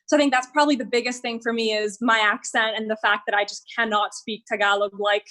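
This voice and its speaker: Candid young woman, slightly high. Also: fairly even intonation, fast, fairly bright tone. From Dubai. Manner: candid, with lots of "like". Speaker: panel guest. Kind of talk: casual reflective chat.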